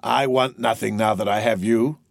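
A frail old father, acted out by a male narrator in a classic storybook reading. He is tender and humble, speaking in a gentle, halting way. The sound is dry and close. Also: fairly low pitch, fairly even intonation, gravelly, dry recording. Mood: gentle, apologetic. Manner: gentle, halting, self-effacing. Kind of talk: dramatised audiobook reading of a classic novel.

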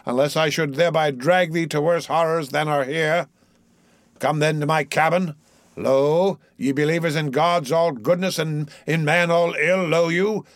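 A man's voice: on the high side, creaky, fairly bright tone, no echo, voice pushed up. A man voicing a mad, brooding old sea captain in an audiobook, declaiming grandly in archaic speech.

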